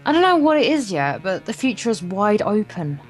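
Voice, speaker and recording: A woman's voice: mid-range voice, sing-song, a little fast. A woman reading a visual novel aloud in an informal let's play, occasionally stumbling over the words. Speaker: guest co-player reading the narration aloud. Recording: guitar music behind the voice. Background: game's guitar music.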